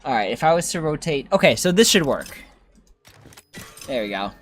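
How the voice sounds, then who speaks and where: A man's voice: mid-range voice, sing-song, normal speed. A young man giving casual livestream game commentary, thinking aloud as he plays and chatting with viewers. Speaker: game streamer.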